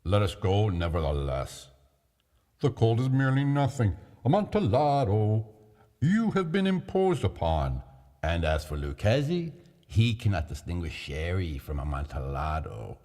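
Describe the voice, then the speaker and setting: A man's voice: low-pitched, very animated, unhurried, warm tone, heavily acted. A man voicing a drunken, eager male wine connoisseur who exclaims insistently, in a reverberant recording.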